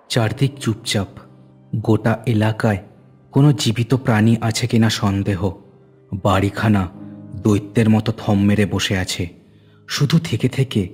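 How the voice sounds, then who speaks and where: Low-voiced male horror story narrator. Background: music.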